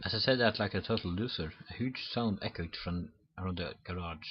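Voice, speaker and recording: A man's voice: sits low, fairly quick, highs cut off, a little hiss or hum. A man reading visual novel text aloud as a let's play host, often stumbling over words and restarting. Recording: clear game music behind voice. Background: game music.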